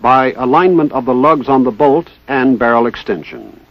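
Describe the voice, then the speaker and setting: A man giving formal, precise, scripted narration in the style of a 1960s military instructional film, with measured enunciation. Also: mid-range voice, no echo. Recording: dull 1960s training film soundtrack.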